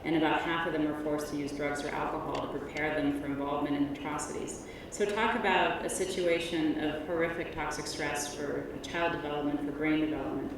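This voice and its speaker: A middle-aged woman delivers an academic keynote in a low, measured voice with plenty of ums. She projects loudly, but she sits far back from the microphone. The big hall rings with long echo and a steady layer of noise. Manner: loud, measured presenter with frequent ums. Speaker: keynote speaker, researcher. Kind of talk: academic conference lecture. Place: large conference hall. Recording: echoey hall from far off, noisy.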